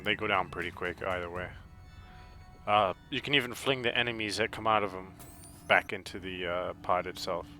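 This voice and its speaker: Low, even-voiced man giving halting game commentary. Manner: halting, explanatory, unhurried. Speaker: video game walkthrough host. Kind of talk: casual game walkthrough commentary. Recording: game music and effects behind voice. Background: video game music.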